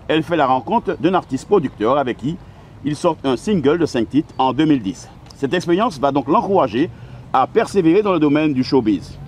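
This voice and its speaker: A man's voice: fairly high pitch, darkish tone. A man hosting an outdoor interview in a city park, introducing his guest and asking questions with frequent hesitant fillers. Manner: friendly, halting with frequent "euh" fillers. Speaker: interviewer and host. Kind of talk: casual interview. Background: faint outdoor ambience with birds and traffic.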